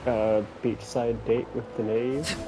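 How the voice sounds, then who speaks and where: A man's voice: mid-range voice, fairly even intonation, slow pace, rough recording. A man who streams games, giving casual live commentary in a slangy, joking way. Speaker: game streamer. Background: game music.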